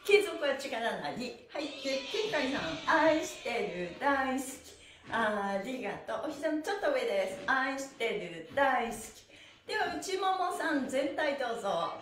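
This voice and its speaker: A woman's voice: high-pitched, very animated, unhurried, fairly bright tone. A middle-aged woman teaching an exercise class, cueing and chanting rhythmically in a cheerful, encouraging way. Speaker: stretching class instructor and pantomime teacher. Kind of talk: exercise instruction with chanted counts. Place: small bare indoor studio room. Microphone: camera a few meters away. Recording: echoey room, mic far off, faint music.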